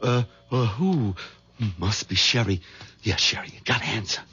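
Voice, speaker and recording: An actor in a 1970s radio drama playing a timid, nervous middle-aged husband who is easily flustered. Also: male voice, fairly low pitch, lively intonation, normal speed, sharp tone, slightly rough, full character voice. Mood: flustered, stammering. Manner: hesitant, stammering, flustered. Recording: dull vintage radio recording, music behind.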